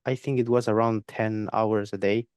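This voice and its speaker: Smooth-voiced man, hesitant. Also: on the low side, fairly even intonation, normal speed, a little mellow, no echo, studio quality. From Spain. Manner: hesitant, repeats words, many fillers. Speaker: guest trader. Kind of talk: interview conversation.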